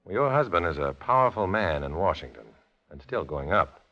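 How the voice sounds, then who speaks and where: An American actor in a 1950s radio drama playing a cool, guarded undercover agent with a clipped, measured, insinuating delivery. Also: male voice, low voice, very animated, bright, forceful tone, exaggerated voice. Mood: cool, businesslike. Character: cool, guarded male undercover agent posing as Communist blackmailer. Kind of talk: 1950s American radio drama acting with first-person narration. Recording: dull, lo-fi old radio recording, music behind.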